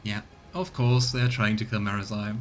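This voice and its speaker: A man's voice: lowish voice, little pitch movement, normal speed, faint music behind. A man giving casual let's play commentary and reading game text aloud. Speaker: streamer. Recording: clear background noise.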